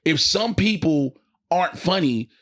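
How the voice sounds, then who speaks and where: Guy podcast host, slightly high voice, casual but emphatic, repeats his point. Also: animated, a little slow, a bit bright. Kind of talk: casual debate.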